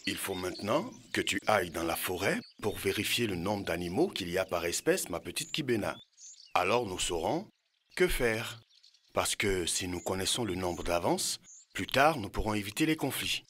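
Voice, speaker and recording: Lively old village man. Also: sits low, normal speed. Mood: thoughtful, kindly. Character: kindly old village man with surplus fruit. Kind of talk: animated educational cartoon dialogue.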